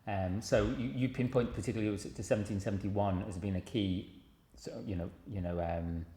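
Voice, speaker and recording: A man's voice: lowish voice, fairly even intonation, brisk, warm tone. A male interviewer asking casual, rambling questions with fillers. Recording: echoey room.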